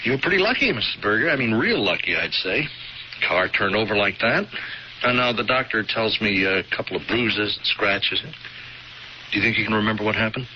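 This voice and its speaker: An actor playing a hesitant, polite state trooper in a 1970s radio drama, talking haltingly with many pauses. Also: male voice, lowish voice, animated, close to an everyday voice. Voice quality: halting, full of "uh" and "well". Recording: lo-fi old radio broadcast, faint hiss.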